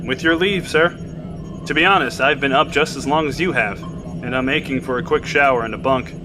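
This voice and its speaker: A man's voice: mid-range voice, a little fast, music in the background, close to an everyday voice. An actor playing a loyal, exhausted submarine sailor who speaks respectfully to his captain. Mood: dutiful. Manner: respectful, dutiful, frequent "sir". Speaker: sailor, coxswain. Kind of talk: audio drama acting.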